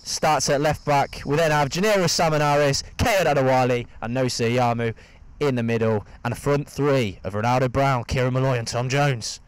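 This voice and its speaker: A man's voice: mid-range voice, steady tone, normal speed, clean tone, dry recording. A man giving enthusiastic football match commentary.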